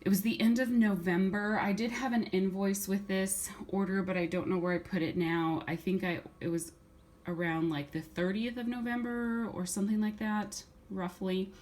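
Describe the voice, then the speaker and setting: A middle-aged woman with a slightly hoarse voice from a cold, chatting casually and rambling through a haul video at home. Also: medium pitch, steady tone, normal speed, darkish tone. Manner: chatty, rambling, with many restarts and fillers. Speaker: vlogger.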